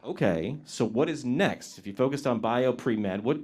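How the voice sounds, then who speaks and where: Energetic male event host, pitch that swings widely, chatty and playful with the graduates. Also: normal speed. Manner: energetic, joking, repeats questions. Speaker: pre-ceremony host interviewing graduates. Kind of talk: upbeat live-stream interviews. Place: outdoors at a graduation ceremony.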